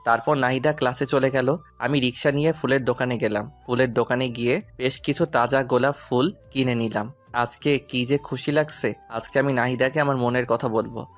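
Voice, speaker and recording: A man's voice: mid-range voice, natural voice. A man playing a shy, lovesick young college student who narrates his own romantic audio story. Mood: earnest, anxious. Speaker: narrator and lead actor. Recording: music behind the voice. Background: background music.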